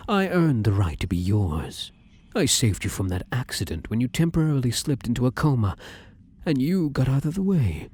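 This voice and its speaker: Man voicing a low, husky possessive shadow lover. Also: mellow, exaggerated voice. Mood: menacing, jealous. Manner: intimate, coaxing, commanding, slipping into breathy whispers. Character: possessive, domineering living shadow, male, obsessively devoted lover. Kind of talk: intimate ASMR roleplay.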